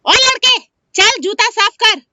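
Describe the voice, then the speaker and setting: Woman plays stingy cartoon gentleman, contemptuous and bossy, extremely high piercing voice, slightly dull recording. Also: slow, no echo, exaggerated voice. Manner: scolding, contemptuous. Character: haughty, stingy, scornful male shoeshine customer. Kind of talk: exaggerated animated moral-story dialogue. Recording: dry, dull lo-fi studio sound.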